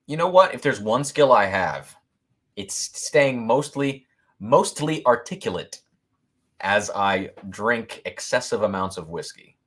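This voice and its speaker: A man hosting a whiskey-tasting livestream, talking loudly and excitedly, laughing often and reading viewer comments aloud into a boom mic. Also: unhurried, breathy edge. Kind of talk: casual live-stream chat. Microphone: boom mic moved back from mouth.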